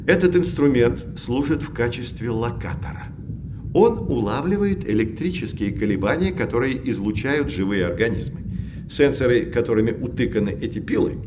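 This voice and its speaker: An actor playing a learned professor who explains things articulately to children in an educational audio drama. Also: male voice, mid-range voice, background noise, natural voice. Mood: patient, informative. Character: learned male professor guiding children on sea voyage.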